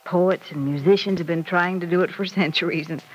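An actress playing a patient, gently probing middle-aged mother in an old-time radio serial, speaking in a measured, coaxing manner. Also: on the low side, steady tone, close to an everyday voice, poor audio. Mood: reflective, tender. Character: gentle, probing mother advising her grown daughter.

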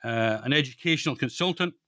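A man's voice: medium pitch, fast talker, pushed and bright, dry recording. Hesitant older Scottish man, dry sound. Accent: Scottish, East Central Scotland. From Scotland. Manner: hesitant, many restarts and fillers. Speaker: guest author. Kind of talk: podcast interview.

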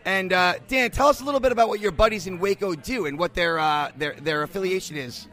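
A man with a high, forceful, bright voice acts as a podcast correspondent in a bar. He interviews on a handheld recorder with an enthusiastic, informal delivery. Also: fairly quick. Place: bar in New York.

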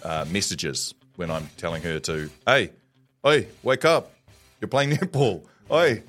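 Booming-voiced New dad. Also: male voice, unhurried, faint music behind. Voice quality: loud booming voice. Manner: storytelling, self-deprecating. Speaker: co-host. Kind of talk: casual morning show chat.

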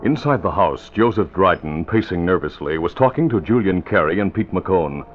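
A man's voice: low voice, expressive, normal speed. A man narrating a scripted 1940s radio adventure as storyteller. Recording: dull old radio recording. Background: dramatic music.

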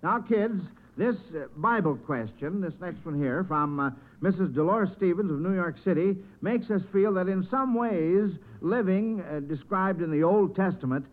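A man's voice: high-pitched, lively intonation, moderate pace, few pauses. A man hosting an old-time radio quiz show in a folksy, encouraging way. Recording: thin phone-like vintage radio recording.

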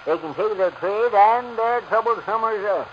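A man's voice: sits high, moderate pace, lightly acted. A man playing a pudgy, wheezing, grumbling roadhouse owner in a 1940s radio drama, flustered and folksy. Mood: fed up. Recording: muffled, very noisy vintage radio recording.

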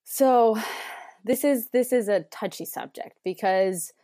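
A woman's voice: on the high side, takes it slowly, dark tone, dry recording, studio quality. A woman in her thirties from Mexico, talking as an interview guest in a chatty way, laughing often and restarting her sentences. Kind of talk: casual conversation.

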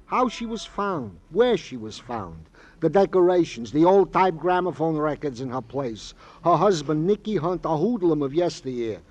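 Male eager, wordy cop in old radio drama: highish, savoring every phrase, dull recording. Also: sing-song, moderate pace, darkish tone. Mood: self-important. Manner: theatrical, savoring, oddly formal phrasing. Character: wistful, florid, wordy male police colleague, eager to please. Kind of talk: 1950s radio crime drama acting.

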